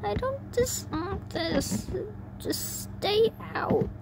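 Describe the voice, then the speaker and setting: A woman's voice: lively intonation, drawn-out words, vocal fry, heavily acted. A woman voicing a tormented female cartoon pegasus pony from a fan story, pleading desperately in a strained, repetitive way. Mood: tearful pleading. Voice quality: high-pitched, strained. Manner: pleading, repeating herself. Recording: noisy homemade recording with hum.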